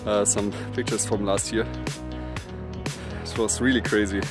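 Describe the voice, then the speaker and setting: Young german guy on a hiking vlog, phone at arm's length outside, kinda low voice, music going underneath. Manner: relaxed, halting, plain-spoken. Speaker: hiking vlogger. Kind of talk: casual vlog narration. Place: outdoors in mountain meadows.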